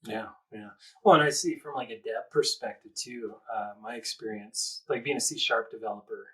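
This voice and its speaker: A man's voice: on the low side, normal speed, fairly bright tone, poor audio. A man hosting a casual podcast chat, speaking loosely and searching for words with fillers. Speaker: podcast host.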